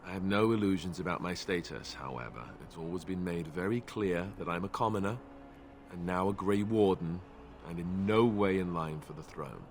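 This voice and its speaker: A man's voice: low voice, moderate pace, slight background noise. An actor voicing a self-deprecating young male warrior in a fantasy video game, talking in a wry, hesitant way. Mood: earnest, sheepish.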